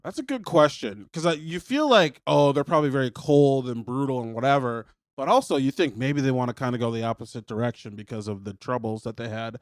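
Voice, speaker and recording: A podcast co-host with a smooth, clean voice at an ordinary male pitch. He talks loosely and jokes, often sinking into quieter trailing asides. The studio sound is dry and close. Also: fairly quick, hardly any pauses, no room sound. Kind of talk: casual chat.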